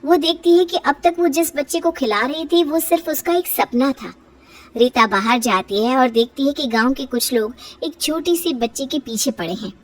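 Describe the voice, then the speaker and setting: A woman's voice: high-pitched, moderate pace, far from the mic. A woman reading scripted narration for a moral story, as its storyteller.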